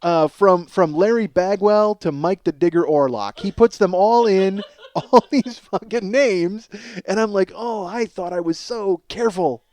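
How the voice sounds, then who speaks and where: A man hosting a comedy podcast in a casual, rambling, profane and excitable ranting monologue. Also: high-pitched, a little slow. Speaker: podcast host.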